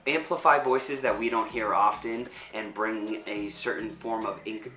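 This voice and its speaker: A man's voice: fairly low pitch, pushed and bright. A man giving thoughtful, reflective interview answers as a performer. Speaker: performer and fight choreographer. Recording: roomy echo with music underneath. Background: background music.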